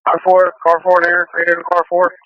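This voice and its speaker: A man's voice: sits high, completely flat delivery, moderate pace, dry recording. A man making brief, clipped calls over a police radio channel. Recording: dull lo-fi police scanner radio.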